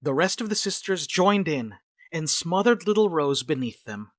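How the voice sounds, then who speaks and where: A woman's voice: lowish voice, big pitch swings, normal speed, dry recording, studio quality. A woman reading scripted narration and stage directions for a dramatic reading.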